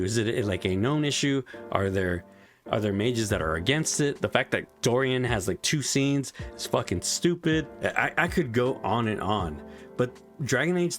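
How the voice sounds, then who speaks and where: Frustrated young man ranting about a game. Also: mid-range voice. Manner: frustrated, profane rant with many "like" fillers. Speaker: game reviewer. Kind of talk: casual spoken video review.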